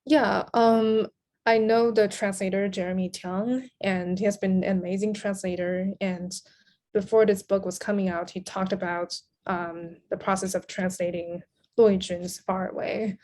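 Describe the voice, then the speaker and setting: A woman from Taiwan with a medium-pitched voice speaks as a podcast guest. She is a native Mandarin speaker, chatting casually in an interview. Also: steady tone, measured pace, a little mellow. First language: Mandarin Chinese.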